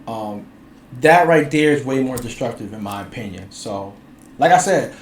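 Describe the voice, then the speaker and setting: A man's voice: medium pitch, lively intonation, normal speed. Man, music producer guest, casual rambling talk, lots of filler phrases, roomy echo. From Bridgeport, Connecticut. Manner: laid-back, rambling, heavy use of filler phrases. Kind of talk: casual interview chat. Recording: roomy echo, faint ambient noise.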